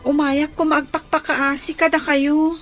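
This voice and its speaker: High-voiced, earnest young daughter. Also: female voice, flat delivery, measured pace, fairly bright tone, faint music behind. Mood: pleading. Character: dutiful young stepdaughter, gentle, earnest and anxious. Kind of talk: Ilocano radio drama acting.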